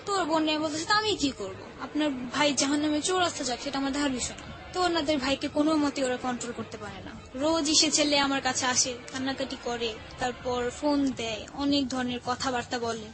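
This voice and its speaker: A woman's voice: high voice, brisk, hardly any pauses. A young woman with a Bangladeshi accent, telling personal stories candidly in casual colloquial talk as a show guest. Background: background music.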